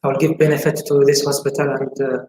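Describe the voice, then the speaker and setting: A man from Syria in his forties, a gynecologist giving interview answers in a halting way with many filler pauses. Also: mid-range voice, flat delivery, darkish tone. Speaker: gynecologist guest. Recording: echoey room, faint hiss.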